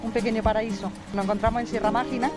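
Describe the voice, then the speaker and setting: A woman interviewed outdoors, speaking in a measured, promotional way about her village. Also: fairly high pitch, fairly even intonation, loud music behind the voice. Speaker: local interviewee. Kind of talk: street interview.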